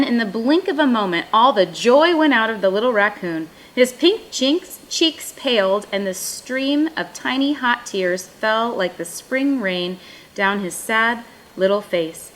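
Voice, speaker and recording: A woman narrating a children's picture book in an expressive storytelling style. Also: a little high, rough recording. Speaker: storybook reader.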